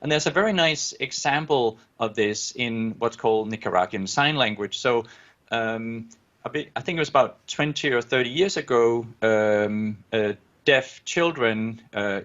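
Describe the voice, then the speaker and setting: A man's voice: medium pitch, a bit bright. A man with a Danish accent, a native Danish speaker, explaining research in an interview, with many fillers and restarts. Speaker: guest researcher. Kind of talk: academic interview answer. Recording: dull lo-fi audio.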